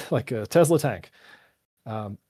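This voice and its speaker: A man's voice: medium pitch, expressive, takes it slowly, dark tone, slightly rough, no room sound. A man who is a game developer, answering community questions in a casual live Q&A, rambling technically with a voice tired after hours of talking. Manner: rambling, technical, thinking aloud.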